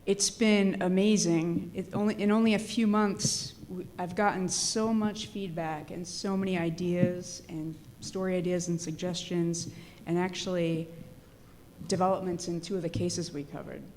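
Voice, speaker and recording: Lower-voiced woman with a Dorchester accent. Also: fairly even intonation, normal speed, a little mellow, slight background noise. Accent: Boston, Dorchester. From Boston area. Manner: easygoing, many fillers. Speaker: journalist guest. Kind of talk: live podcast interview. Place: brewery, live audience.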